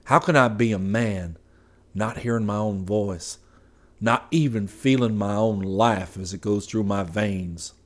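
A man's voice: on the low side, unhurried, close to an everyday voice. A man voicing a weary, sorrowful husband. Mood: sad pleading. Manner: sad, pleading, obstinate. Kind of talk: audiobook character voice.

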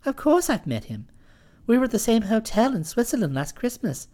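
A woman's voice: on the high side, very animated, normal speed, dark tone, voice pushed down. A woman voicing a breezy, scheming young woman. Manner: breezy, matter-of-fact, teasing.

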